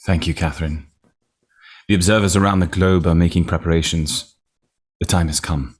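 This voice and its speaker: Casting: male actor as a solemn, courteous leader, low-pitched, for a drama read. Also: fairly even intonation, normal speed. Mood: grave, polite. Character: grave, formal male leader preparing for the future. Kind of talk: screenplay table read.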